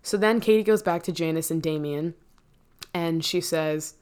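A woman giving a casual, chatty recap of a stage musical, full of "like" fillers and quick self-corrections. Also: sits low, a little mellow, clear, resonant tone. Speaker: musical theater fan recapping a show.

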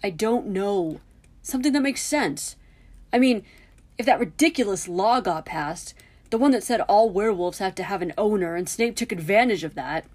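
An indignant teenage boy wizard from a storybook, acted out by a woman narrator. He speaks in emphatic, scornful bursts, loud and exasperated, with sarcasm on top. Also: mid-range voice, lively intonation, moderate pace, lightly acted. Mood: baffled, indignant. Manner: emphatic, sarcastic, incredulous outbursts.

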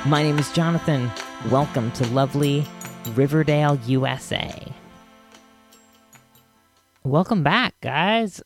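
A man hosting a podcast with a casual, halting, rambling introduction. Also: a little high, soft background music. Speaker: podcast host.

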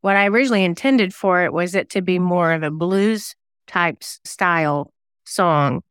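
A woman speaks conversationally as an interview guest, chatty and a little self-deprecating. Her voice is strikingly clear and smooth. The sound is dry, with no room on it. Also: fairly low pitch, normal speed, fairly bright tone, no echo. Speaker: guest singer-songwriter and novelist.

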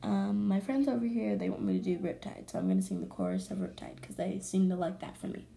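Hoarse young woman podcast host, chatty. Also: medium pitch, lively intonation, fast, mellow. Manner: rambling, self-correcting, chatty. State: hoarse from allergies. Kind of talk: casual solo podcast chat.

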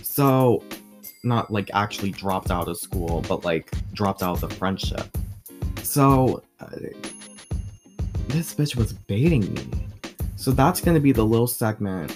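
A teenage boy with a deep voice, hosting his own vlog in a chatty, blunt rant that swears freely. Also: animated, a little slow, pauses often, music in the background. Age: teens. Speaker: vlog host. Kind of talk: casual ranting monologue.

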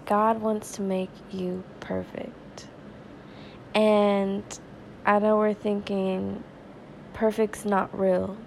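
Woman podcast preacher, stuffy and raspy from crying; gravelly, slow, earnest delivery. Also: mid-range voice, little pitch movement, a little mellow, slight background noise, rough recording. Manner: earnest, conversational, slow and prayerful. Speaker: devotional podcast host. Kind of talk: informal devotional talk and prayer.

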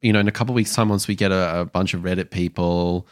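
A man's voice: sits low, steady tone, fast, dry recording, clean studio sound. A man speaking as a podcast host in a casual, unscripted discussion about films.

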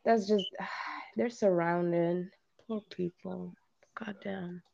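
A woman's voice: lowish voice, fairly even intonation, extremely slow, gravelly, mellow. A woman from Belgium chatting casually as a podcast co-host, on dull lo-fi audio. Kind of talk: casual chat.

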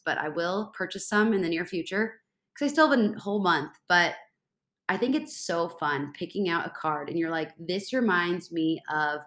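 A woman's voice: on the low side, a bit bright, roomy sound. A congested young woman hosting a casual solo podcast, bubbly and rambling, filling gaps with "like". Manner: bubbly, rambling, says like a lot. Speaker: podcast host.

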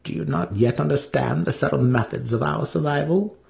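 A man voicing a commanding, calculating dark elf matron mother with an imperious, measured manner, in a fantasy storybook reading. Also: on the high side, gravelly, voice pushed up.

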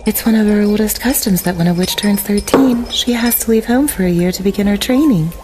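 A woman voicing a gentle, worried witch mother in an animated film fandub, patient and reassuring. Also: mid-range voice, fairly quick, loud music behind the voice, close to an everyday voice. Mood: resigned, worried.

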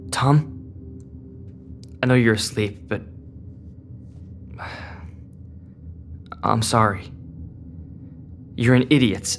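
A man playing an anxious, conflicted teenage boy in an audio drama, speaking in a halting, self-questioning way. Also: fairly low pitch. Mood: troubled, regretful. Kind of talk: audio drama acting with inner monologue. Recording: long reverb, clear music behind.

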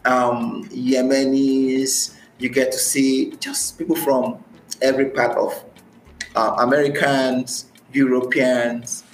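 A man's voice: mid-range voice, monotone, slow, a little hiss or hum. A man from Nigeria with a Nigerian accent, talking as a podcast guest about his experiences and often repeating phrases for emphasis. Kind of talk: conversational interview, recounting personal experience. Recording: roomy echo, faint music.

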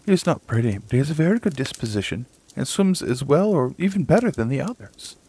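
A man voicing a fussy, proud mother duck in a storybook reading, with a high, fluttering put-on voice. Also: sing-song, fast talker, warm tone, a little hiss or hum, lightly acted. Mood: defensive.

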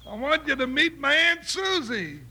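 An actor playing a folksy, wisecracking American cowboy sidekick in an old radio Western. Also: male voice, extremely high pitch, big pitch swings, normal speed, sharp tone, heavily acted. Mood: easygoing, jokey. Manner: folksy drawl, jokey. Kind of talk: 1950s old-time radio Western acting. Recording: noisy old radio recording.

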